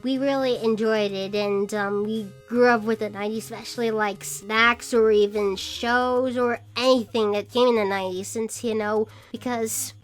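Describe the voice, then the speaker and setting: A woman's voice: fairly high pitch, steady tone, normal speed, hardly any pauses, faint music behind. A woman in her twenties chatting casually as a vlog host, rambling and hesitant as she talks. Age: 20s. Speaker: vlogger and podcast host. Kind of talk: casual shoutout vlog chat.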